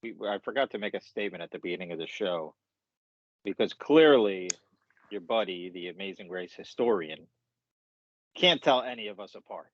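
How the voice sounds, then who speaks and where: A man's voice: fairly low pitch, animated, moderate pace, muffled recording. A man with a New York accent co-hosting a casual podcast, scolding in a mock-indignant way. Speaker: podcast co-host. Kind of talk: casual chat.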